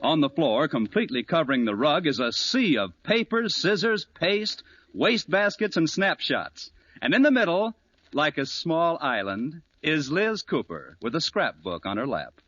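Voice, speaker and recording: A man's voice: fairly high pitch, big pitch swings, normal speed, a bit bright, dull audio, a little hiss or hum. A man announcing and narrating a 1950s radio sitcom with polished, theatrical scripted delivery. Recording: band-limited old radio recording.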